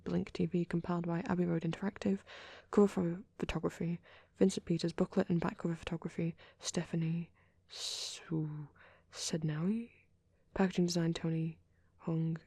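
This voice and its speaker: A woman playing a shy, nervous vampire boy in a soft-spoken ASMR roleplay, with a hesitant, rambling, stammering delivery and a husky voice. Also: lowish voice, no echo. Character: shy, nervous young male vampire on a date.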